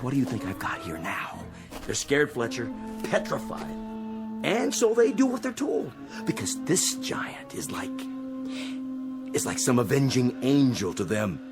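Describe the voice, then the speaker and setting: Cocky, animated space technician. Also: male voice, medium pitch, measured pace, vocal fry, music in the background, exaggerated voice. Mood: megalomaniac. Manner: boastful, goading, excitable. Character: cocky spaceship technician drunk on godlike power over tiny aliens. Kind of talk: dramatic radio-play acting.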